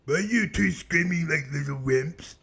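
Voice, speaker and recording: A man voicing a slow-witted, menacing cartoon brute with a raspy voice, speaking sternly in simple broken grammar. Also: fairly high pitch, a bit bright, a little hiss or hum. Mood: blunt. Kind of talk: comedic cartoon voice acting.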